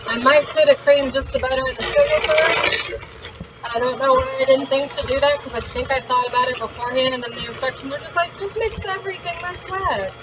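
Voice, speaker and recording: A woman with a fairly high voice chats casually through her cooking in a home kitchen, thinking aloud as she goes. She is some way from the camera's mic, and there is clear, heavy noise behind her. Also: steady tone, a little fast. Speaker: home cook hosting a cooking vlog. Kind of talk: casual chat while cooking. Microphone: camera on the counter, at a distance. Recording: distant, dull sound with loud background noise.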